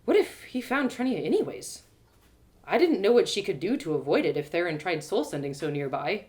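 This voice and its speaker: A woman narrating her own fantasy audio drama in first person, animated and bubbly, with excited exclamations and hushed asides. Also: lowish voice, fairly quick. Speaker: storyteller and host.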